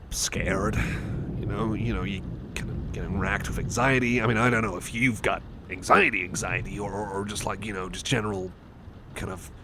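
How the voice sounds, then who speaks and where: Timid, apologetic cyborg pal played by a male actor for ASMR roleplay: lowish pitch, halting and repetitive, over noisy storm ambience. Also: lightly acted. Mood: tentative, anxious. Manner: stammering, hesitant, rambling, repeats himself. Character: anxious, timid young male cyborg buddy, gentle and apologetic. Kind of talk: ASMR roleplay, intimate chat to listener. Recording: noisy thunderstorm ambience behind voice.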